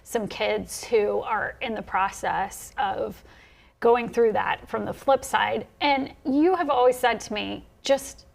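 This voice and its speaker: A woman's voice: a little high, slow pace, gravelly. A woman hosting a TV news interview show, leading the conversation in a friendly, conversational way.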